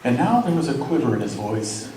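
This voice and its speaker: A man's voice: mid-range voice, very animated, brisk, mellow. A man narrating and announcing from a script in an old-time radio style stage show. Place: large hall, live stage show. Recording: very echoey hall, distant mic, some noise.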